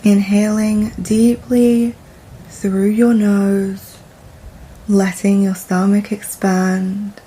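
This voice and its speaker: A woman leading a guided meditation in a soft, soothing, deliberate manner with long pauses between phrases. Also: medium pitch, slightly rough, poor audio.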